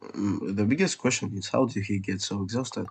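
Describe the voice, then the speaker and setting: A man's voice: on the low side, normal speed, crowd noise. A man doing casual let's play commentary, reacting in short remarks and talking back to the game's characters.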